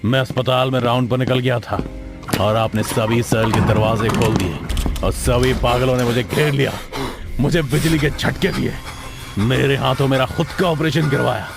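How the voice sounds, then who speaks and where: Horror story role: wronged young man's ghost, accusing and menacing, male narrator acting, pitched up, music loud behind. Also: moderate pace, vocal fry, lightly acted. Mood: aggrieved, accusing. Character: vengeful spirit of betrayed younger brother inside madman.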